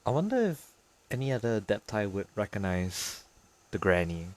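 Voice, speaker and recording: A woman's voice: a bass voice, sing-song, normal speed, slight background noise. A woman streamer giving laid-back, brief, casual reactions while playing a video game.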